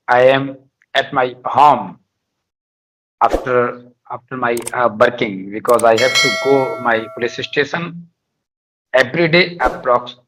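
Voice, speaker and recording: A man's voice: medium pitch, a bit bright, rough recording. A man with an Indian accent practicing conversational English, speaking hesitantly and repeating his words as he goes. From Madhya Pradesh, India. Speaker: guest, police officer learning English.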